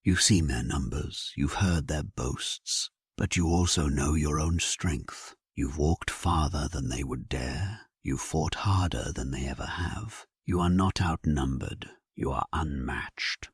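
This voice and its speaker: A man playing a solemn, resolute English warrior king, narrating his battle in a measured, stately way for an audio drama. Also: very deep voice, husky, no room sound, studio quality, exaggerated voice. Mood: resolute, rousing. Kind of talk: dramatic scripted first-person narration, sleep story.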